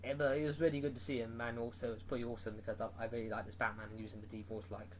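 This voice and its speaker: A man's voice: medium pitch, flat delivery, rapid-fire, slight background noise, rough recording. A man giving a casual, rambling movie review, full of fillers and restarts.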